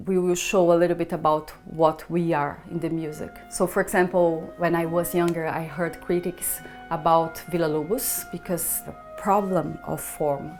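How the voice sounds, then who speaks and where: Italian-Brazilian-accented woman conductor, low voice. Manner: thoughtful, searching for words, hums rhythms. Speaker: orchestra conductor. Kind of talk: promotional interview talk.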